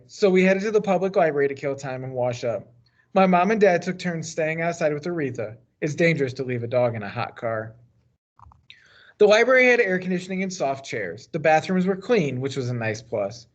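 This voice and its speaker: A man's voice: on the high side, very animated, moderate pace. An American man reads a children's novel aloud as a teacher would, stressing the starts of phrases and letting the endings fall. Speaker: teacher reading a book aloud. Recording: dull, lo-fi sound.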